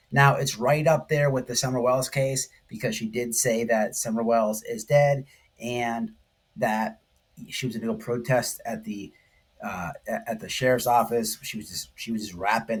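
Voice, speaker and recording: A man's voice: medium pitch, fairly even intonation, normal speed, husky. A man hosting informal true crime commentary, speaking emphatically in slangy language and often checking in with the listener. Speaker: YouTube commentary host.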